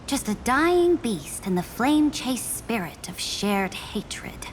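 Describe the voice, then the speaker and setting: An actress playing a proud, stern female monarch and warrior who speaks in a measured, regal way. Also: a little high, very animated, slight background noise. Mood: stately. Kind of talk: video game voice acting. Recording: game soundtrack behind.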